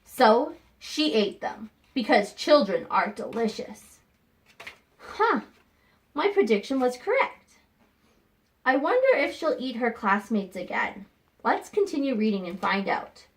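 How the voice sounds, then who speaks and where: Animated, high-voiced woman reading to children. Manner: expressive storybook delivery, pausing to think aloud. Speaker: teacher reading a picture book aloud to young students. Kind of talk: read-aloud lesson with questions to children.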